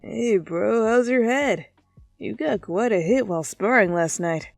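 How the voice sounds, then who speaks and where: A woman's voice: medium pitch, animated, a little slow, creaky, dry recording. A woman voicing an easygoing, teasing teenage boy with a casual, friendly way of talking, in an anime fan fiction reading. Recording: music behind the voice.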